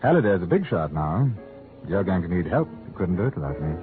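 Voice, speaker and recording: A man's voice: sits low, sing-song, brisk. An actor playing a sly, pushy small-time male crook in an old radio drama, casual and insinuating. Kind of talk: 1940s radio drama acting. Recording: tinny old radio, music behind.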